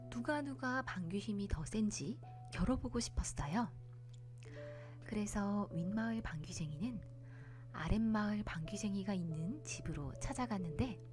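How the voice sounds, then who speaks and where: A woman narrating a children's bedtime folktale as a storyteller, in a polite, expressive manner. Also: medium pitch.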